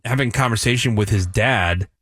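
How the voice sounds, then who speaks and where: A man's voice: fairly low pitch, a little fast, fairly bright tone, husky. A man hosting a radio show, chatting casually in a teasing way. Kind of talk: casual banter.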